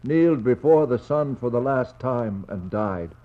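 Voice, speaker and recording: A man acting a brooding explorer in a 1960s radio play, speaking a poetic monologue in a measured, incantatory way with grim sympathy and weighty pauses. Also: medium pitch, lively intonation, close to an everyday voice. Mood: brooding, sorrowful. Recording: dull 1960s radio recording.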